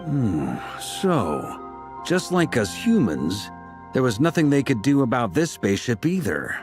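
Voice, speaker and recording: A man's voice: mid-range voice, huge pitch swings, measured pace, faint music behind. A man playing an upbeat, playful young adventurer who reasons things out in a video game. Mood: thoughtful.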